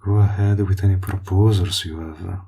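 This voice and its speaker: Very deep, gravelly villain played by a man. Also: steady tone, dark tone. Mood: cool menace. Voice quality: gravelly, husky, breathy. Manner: slow, teasing, drops into whispers. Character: smug, possessive male villain, flirtatious and menacing. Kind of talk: roleplay audio acting, intimate monologue.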